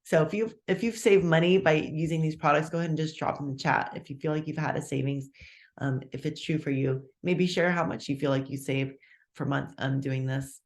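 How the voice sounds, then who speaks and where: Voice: medium pitch, fairly even intonation, a little fast. A speaker in their fifties runs a live online sales talk at an easy, conversational pace. They lay out each price in turn and keep checking in with "okay?" and "all right?". The tone stays upbeat and enthusiastic when they talk about their own results. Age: 50s. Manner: chatty, walks through prices step by step, checks in with "okay?". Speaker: webinar presenter selling a meal plan. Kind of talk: casual live sales pitch.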